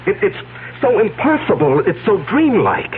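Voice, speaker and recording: A man's voice: sits high, very animated, normal speed. A man playing an anxious, hesitant, stammering businessman under blackmail in a radio drama. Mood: agitated, pleading. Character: anxious, evasive businessman being blackmailed, torn. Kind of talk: 1970s radio drama acting. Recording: tinny, noisy old radio broadcast.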